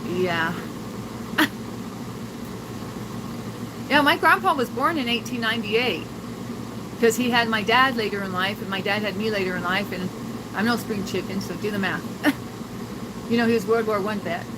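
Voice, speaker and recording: A woman's voice: mid-range voice, moderate pace, pauses often, heavy background noise. An older woman telling family stories to her livestream viewers, rambling and laughing often with many fillers. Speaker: livestream host. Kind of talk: casual livestream chat with viewers.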